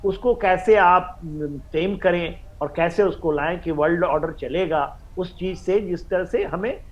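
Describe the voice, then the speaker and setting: An Indian man giving expert commentary on a news panel, halting and repeating himself, mixing in English phrases. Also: sits high, lo-fi sound. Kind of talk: live news panel analysis.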